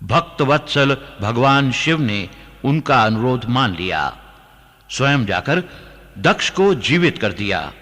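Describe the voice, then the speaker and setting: A man announcing a radio drama, giving solemn, deliberate scripted narration. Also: medium pitch, sing-song, a bit bright. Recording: long reverb on voice.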